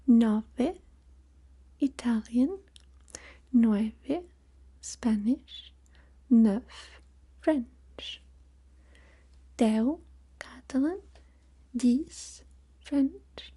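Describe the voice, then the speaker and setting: Slow whispering woman, breathy, dark mellow voice, ASMR language lesson. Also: fairly high pitch. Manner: soft whispering, listing words slowly. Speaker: language teacher.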